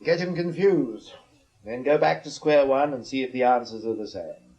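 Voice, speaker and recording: An actor playing a weary, mocking British double agent in a radio drama, with sardonic, drawn-out emphasis. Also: male voice, mid-range voice, darkish tone, close to an everyday voice. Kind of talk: naturalistic radio drama acting. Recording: dull radio drama recording.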